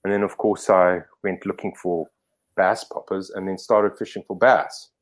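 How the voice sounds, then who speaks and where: Low-voiced man from Zimbabwe, rambling. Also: fairly even intonation, moderate pace. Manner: rambling, self-correcting, lots of fillers. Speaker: podcast guest. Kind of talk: casual storytelling interview.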